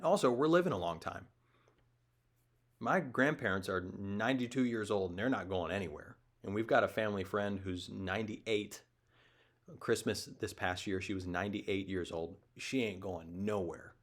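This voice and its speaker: A man in his thirties with a somewhat low voice talks off the cuff as the host of his own show. He digresses often and leans hard on his points. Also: moderate pace. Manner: rambling, digressive, emphatic. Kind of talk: casual opinion monologue.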